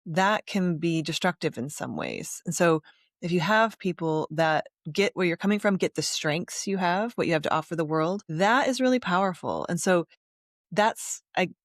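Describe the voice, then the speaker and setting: A woman hosting a podcast interview, reflecting at length and summing up her guest's points in a relaxed, conversational way. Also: fairly low pitch, moderate pace, dry recording, studio quality. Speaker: podcast host.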